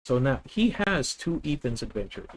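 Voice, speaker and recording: A man's voice: medium pitch, very animated, moderate pace, slight background noise. A man with a Filipino accent streaming a card game, methodically thinking aloud and correcting himself as he plans each move. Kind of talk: live deck-test commentary.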